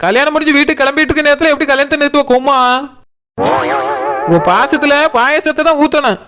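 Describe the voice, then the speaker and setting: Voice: high voice, close to an everyday voice. A performer voicing an indignant, sarcastic village daughter in a comic cartoon, with a Kanyakumari Tamil accent. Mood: sarcastic, angry. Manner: scolding, sarcastic. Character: indignant village daughter, scolding her mother.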